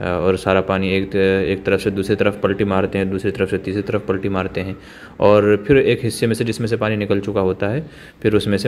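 Male camera-holder, deep-ish, filler-heavy commentary outdoors, faint ambience. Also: a little mellow, a little hiss or hum. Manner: chatty, many fillers, exclaims at surprises. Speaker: vlogger. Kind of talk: casual commentary behind the camera. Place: outdoors by a village pond.

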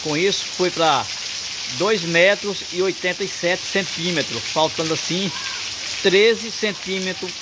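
Man vlogging from a porch on his phone, higher voice, heavy rain drowning the mic. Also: unhurried, very noisy. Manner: chatty, addresses viewers often. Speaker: vlogger filming the weather. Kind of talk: casual on-the-spot narration. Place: covered porch outdoors in heavy rain at night. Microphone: phone camera held by the speaker. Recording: loud pouring rain over voice.

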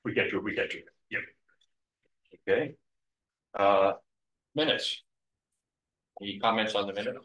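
A man chairing a city board meeting, easygoing and brisk, often repeating his words. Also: fairly low pitch, pushed and bright. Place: council meeting room. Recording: echoey room, muffled sound.

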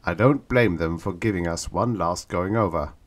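A man voicing a heavy-set businessman in an audiobook story, speaking bluntly and blusteringly in his own low voice. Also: normal speed.

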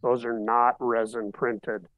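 A man's voice: medium pitch, slow, no echo, rough recording. Brief: man, 50s, dry webcam sound, easygoing hobbyist show-and-tell. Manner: relaxed, matter-of-fact show-and-tell. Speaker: hobbyist showing 3D printed car bodies. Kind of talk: casual video call chat. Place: home living room, seated in armchair. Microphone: webcam on video call.

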